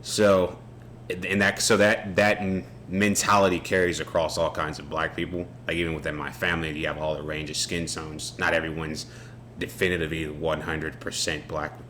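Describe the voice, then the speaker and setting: A man co-hosting a podcast, talking in a relaxed, reflective way with some halting restarts. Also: rumbling low. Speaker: podcast co-host. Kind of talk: casual unscripted conversation. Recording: faint background noise.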